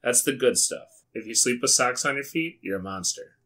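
An American man hosting a comedic read-aloud, dropping wry, sweary, deadpan asides in a casual way. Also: mid-range voice, normal speed. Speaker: YouTube host. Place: small indoor studio with foam panels.

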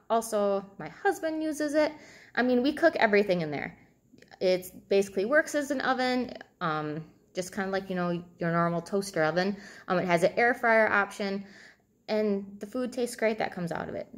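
A woman in her thirties giving informal advice to camera as a coach, conversational and thinking aloud as she goes. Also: mid-range voice. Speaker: fitness and nutrition coach. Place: indoors, small room at home, seated at a table.

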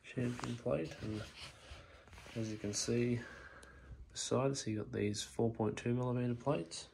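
A man with a gravelly edge to his mid-range voice talks through a product up close. He speaks casually in brief, halting, approving phrases. The phone recording carries some light background noise. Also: medium pitch, fairly even intonation, a little mellow, low-quality recording. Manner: short, halting, appreciative remarks. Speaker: product reviewer. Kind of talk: casual hands-on product review commentary. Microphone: phone camera mic.

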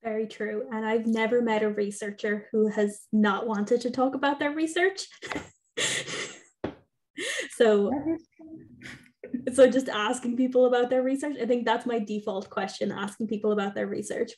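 A young adult woman hosting a podcast interview over a video call, chatty and quick to laugh. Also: a little high, fairly even intonation, a little mellow. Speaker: podcast host. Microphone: headset on video call.

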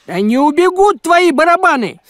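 A man's voice: very high-pitched, sing-song, normal speed, background noise. A man playing a sensible, scheming talking house cat in a cartoon, drawling and bossy. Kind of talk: animated cartoon acting. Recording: music under the voice.

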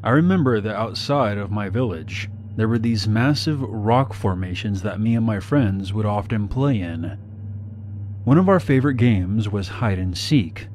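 Low-voiced man from Somalia, somber. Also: animated, unhurried, darkish tone, a little hiss or hum. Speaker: narrator telling his own story. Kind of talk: scripted first-person narration.

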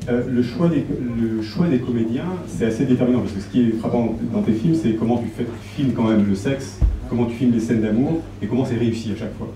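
A man's voice: mid-range voice, brisk, few pauses, warm tone, a little hiss or hum. A middle-aged man moderating a public talk, asking questions into a handheld mic and searching for his words. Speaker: event moderator. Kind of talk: interview questions. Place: small shop event room indoors. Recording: roomy, from a distant camera.

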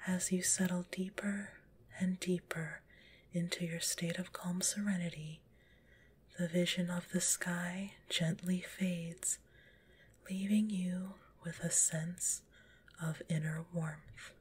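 A woman softly whispering a guided relaxation in an ASMR style, gentle and soothing, with a slightly stuffy nose. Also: fairly low pitch, steady tone, takes it slowly. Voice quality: breathy whisper; stuffy-nosed. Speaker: guided visualization narrator.

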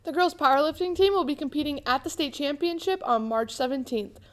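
A woman's voice: sits high, a little fast. A young woman co-anchoring a student morning news show in a small studio, reading announcements steadily. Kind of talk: school morning news broadcast. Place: indoor studio before green screen.

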